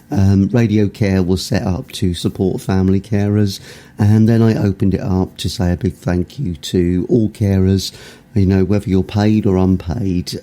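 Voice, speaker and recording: A man's voice: deep voice, mellow. A man hosting a radio music show, talking casually with a sore throat and a rambling, filler-heavy manner. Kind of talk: casual radio presenting between songs.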